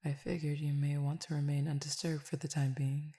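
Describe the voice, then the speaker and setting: A woman voicing a calm cupid roommate who feeds on desire, in an audio roleplay spoken to the listener, formal, measured and quietly teasing. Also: low-pitched, husky, no echo. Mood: languid teasing.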